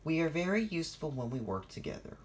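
A storyteller voicing a gentle female guardian engine in a soothing, feminine, softly playful way. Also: mid-range voice, sing-song, quick pace, slight background noise, pitched above the performer's own voice, natural voice. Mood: soothing, friendly.

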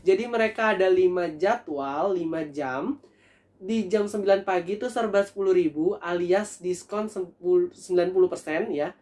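A young man in a casual food review vlog, talking in a chatty, animated way to his viewers while seated at a table in a small room. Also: sits high, normal speed. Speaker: food vlogger.

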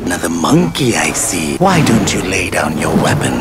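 Very deep demon monk. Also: male voice, sing-song, normal speed, gravelly, heavily acted. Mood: mock-pious menace. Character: sinister, mock-pious male demon monk. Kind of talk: theatrical video game voice acting. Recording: loud music under the voice. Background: loud game music and rumbling.

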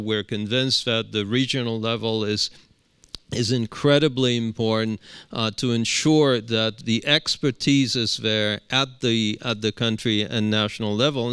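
A middle-aged man speaking on a panel into a handheld mic, halting often with fillers and restarts. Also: medium pitch, a bit bright, clear, resonant tone, no room sound. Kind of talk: unscripted panel remarks. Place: conference room.

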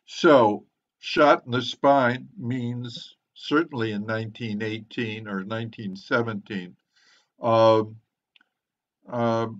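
An older man speaking in a measured, deliberate, professorial way as he moderates an online book discussion. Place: indoors, on a video call. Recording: muffled, low-fidelity video call.

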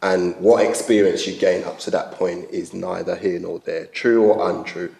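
A man's voice: sits low, steady tone, normal speed, creaky, dark tone. A man chairing a panel discussion in a formal hall, asking wry, rambling questions with restarts. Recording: roomy hall echo.

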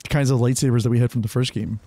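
A laid-back young man hosts a game playthrough with easy joking commentary. His voice is mellow and dark, sitting mid-range for a man. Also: mid-range voice, steady tone, very fast, no echo. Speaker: gaming channel host. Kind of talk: casual let's-play commentary.

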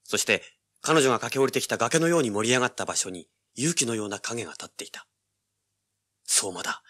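An actor playing a cocky, tough young treasure hunter, rough-spoken and sardonic, narrating and speaking in a hard-boiled audio drama. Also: male voice, mid-range voice, lively intonation, brisk, fairly bright tone. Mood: tense, grim. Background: dramatic music.

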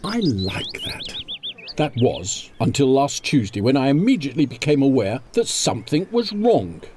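A man's voice: medium pitch, big pitch swings, normal speed, natural voice. A man with a British accent playing a fussy, resentful ageing commuter narrating a radio drama in a prim, theatrical way. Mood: prim, uneasy. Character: fussy, resentful ageing English commuter haunted by school bully. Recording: noisy amateur radio drama recording.

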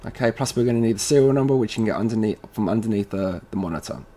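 A man presenting an informal software tutorial, casually thinking aloud as he goes step by step. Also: on the low side, expressive, rapid-fire. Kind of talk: informal screen-recorded software walkthrough. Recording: noisy room with keyboard typing.

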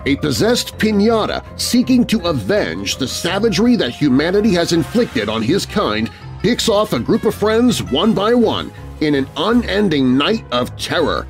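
A man's voice: on the high side, sing-song, moderate pace. A man hosting a horror radio podcast, reading a scripted promo upbeat and straight to his fans. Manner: upbeat, addressing fans directly. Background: music.

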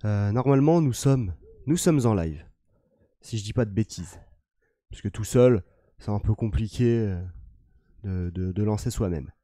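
Relaxed young man hosting a game stream. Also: lowish voice, measured pace, long pauses, no room sound, clean studio sound. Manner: relaxed, hesitant, with many fillers. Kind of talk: casual gaming stream chat.

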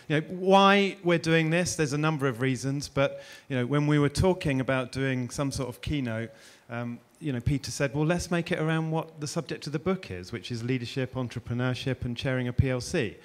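A man's voice: medium pitch, brisk, few pauses. A middle-aged man from England, chatty and self-deprecating, introducing and interviewing a guest on a conference stage with a handheld mic. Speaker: interviewer and host. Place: conference stage before an audience.

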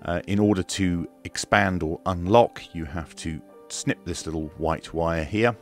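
Male product reviewer, deep register, slow hesitant delivery, plain step-by-step walkthrough. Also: soft background music. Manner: unhurried, matter-of-fact, hesitant step-by-step explaining. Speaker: radio reviewer and demonstrator. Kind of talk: casual product demonstration. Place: indoor room at a desk.

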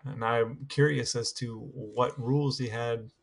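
Husky male podcast co-host. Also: medium pitch, steady tone, a little slow. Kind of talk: casual chat and interview questions.

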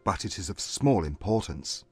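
Casting: male actor as haughty period gentleman, ardent and formal, husky, swinging intonation. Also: deep voice, very animated, normal speed, soft background music, heavily acted. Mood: wounded, restrained. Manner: formal, intense period diction. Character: proud, agitated gentleman suitor, ardent and haughty. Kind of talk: dramatized audiobook acting.

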